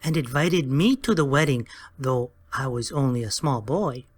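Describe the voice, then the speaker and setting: A woman's voice: rumbling low, lively intonation, moderate pace, slightly rough, heavily acted. A woman voicing a small, timid silver court jester in a dramatized audiobook, deferential and sing-song in rhymes.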